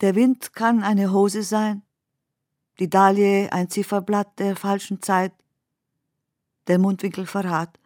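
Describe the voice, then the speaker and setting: A woman reciting literary poetry for a radio play in measured, deliberate phrases. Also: medium pitch, flat delivery, dark tone, no room sound, studio quality.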